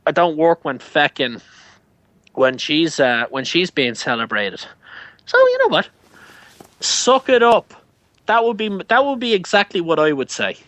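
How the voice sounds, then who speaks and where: A man's voice: on the high side, big pitch swings, a little slow, long pauses, a bit bright, dry recording. A man with an Irish accent, ranting heatedly and full of swearing in a casual show chat. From Ireland. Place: Canada.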